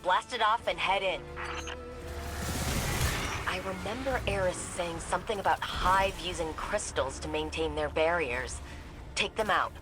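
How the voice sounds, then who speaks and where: A woman's voice: on the low side, measured pace, pauses often, close to an everyday voice. A woman playing a cheeky, practical tech operative giving casual mission instructions in a video game. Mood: brisk, practical. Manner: casual, wry, to the point. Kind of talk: scripted game mission chatter. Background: music.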